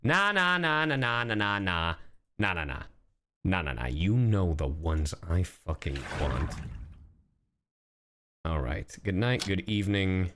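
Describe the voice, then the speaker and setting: A man's voice: low voice, sing-song, takes it slowly, pauses often, pushed and bright. A man reacting casually on a livestream, slangy, profane and full of exclamations. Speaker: streamer reacting to game voice lines.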